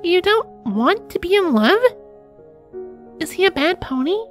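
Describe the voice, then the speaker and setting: A woman's voice: very high-pitched, big pitch swings, a little slow, soft background music, heavily acted. A woman voicing a curious, innocent young filly pony with a childlike, blunt way of asking, in a fan fiction reading.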